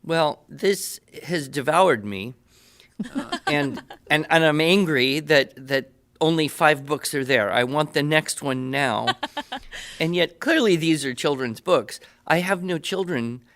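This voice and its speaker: A man's voice: a little high, slow, fairly bright tone. Older man hosting a conversational podcast. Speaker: podcast host. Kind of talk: conversational interview.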